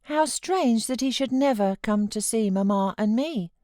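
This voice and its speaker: A woman's voice: a little high, animated, measured pace, dark tone, no room sound, studio quality, voice pushed up. A woman voicing a frail, timid young boy in an audiobook, fretful and plaintive. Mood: puzzled, plaintive. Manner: plaintive questioning. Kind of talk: audiobook character voice.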